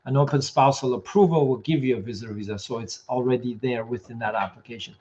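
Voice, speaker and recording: A hoarse man runs a live question session, reading out viewer comments and answering each one quickly and plainly. His voice sits at an ordinary middle pitch. The sound is dull and lo-fi, like a streamed call. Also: darkish tone. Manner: quick, matter-of-fact answers, reads comments aloud. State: losing his voice. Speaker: immigration consultant host.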